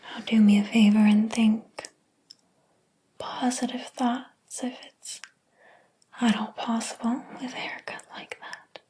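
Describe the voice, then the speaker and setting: Casting: woman as obsessive, wounded hairdresser for ASMR roleplay; higher-pitched, theatrical, unhurried, hushed breathy whisper. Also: flat delivery, exaggerated voice. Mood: possessive, disdainful. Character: jealous, possessive hairdresser, obsessed with favorite client.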